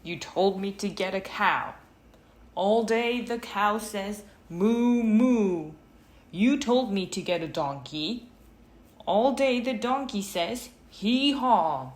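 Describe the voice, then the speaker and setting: A woman's voice: mid-range voice, animated, slow pace, pitched above the performer's own voice. A woman voicing an exasperated, angry storybook man who complains emphatically in a sing-song list. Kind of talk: storybook read-aloud character. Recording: roomy, noticeable background noise.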